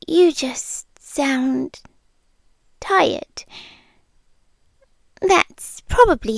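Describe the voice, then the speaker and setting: A British woman voicing a shy, smitten monster under the bed in soft, breathy, intimate ASMR roleplay, hesitant and dryly awkward, often dropping into whispers. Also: high-pitched, no echo, heavily acted. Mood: teasing, calm. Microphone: close microphone, dry.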